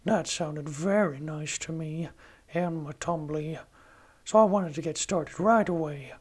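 A man doing an impression of a gentle, simple-minded cartoon bear who loves honey, reading a diary aloud. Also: on the high side, a little slow, breathy edge. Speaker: podcast host. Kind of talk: amateur storybook impression.

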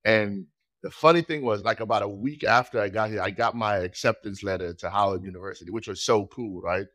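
A man in his forties from Trinidad and Tobago, a podcast guest telling his story in a measured, polite way. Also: fairly low pitch, fairly bright tone, no room sound, studio quality. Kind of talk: conversational storytelling.

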